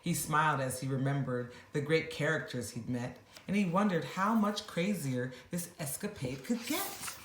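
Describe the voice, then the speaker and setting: A woman reading a rhyming bedtime story aloud with expressive narration. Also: low voice, echoey room, a little hiss or hum.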